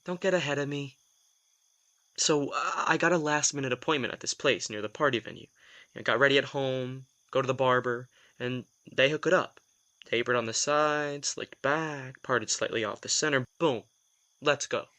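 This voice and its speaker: Guy acting as reluctant vampire dude, sardonic office worker type, kinda hesitant, crisp bright edge to the voice, audio drama style. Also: mid-range voice, moderate pace, close to an everyday voice. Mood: animated storytelling. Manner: wry, hesitant, self-deprecating storytelling with sighs.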